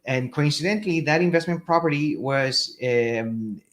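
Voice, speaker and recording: A man in his thirties from Chile with a Chilean accent, a guest telling his career story in a halting way, often checking in with "right?". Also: on the high side, a little hiss or hum. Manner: halting, many restarts and "right?". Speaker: podcast guest, company CEO. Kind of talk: casual interview.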